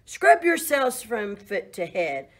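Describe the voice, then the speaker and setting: A woman reading a children's picture book aloud, voicing a fussy, bossy farm woman who scolds in a shrill, loud voice. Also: big pitch swings, slow pace. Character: bossy middle-aged farm woman who loves cleanliness.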